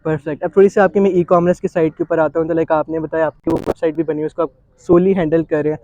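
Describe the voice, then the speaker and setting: A man's voice: a little high, little pitch movement, fast talker, warm tone, muffled recording. A man with a Pakistani accent hosting an interview, asking casual questions with English words mixed in. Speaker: interview host.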